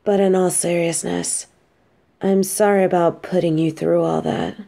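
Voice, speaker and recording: A woman's voice: fairly low pitch, steady tone, measured pace, vocal fry, warm tone. A woman playing an affectionate, teasing supervillain girlfriend in an intimate roleplay audio drama, speaking tenderly and playfully. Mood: sincere, apologetic.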